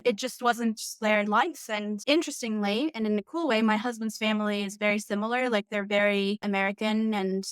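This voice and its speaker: Woman from turkey, turkish native speaker, casual podcast guest, mid-pitched, dry close sound. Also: brisk, hardly any pauses, clean tone, dry recording. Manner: chatty, many fillers like "like". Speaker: executive coach, podcast guest. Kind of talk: casual interview chat.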